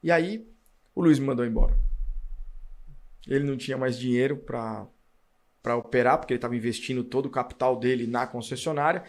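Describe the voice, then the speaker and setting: A man tells his story with animation. His voice is gravelly, with a steady middle pitch. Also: fairly quick, long pauses. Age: 40s. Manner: animated storytelling, acts out past dialogue. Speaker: podcast guest, car dealer. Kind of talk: casual storytelling interview.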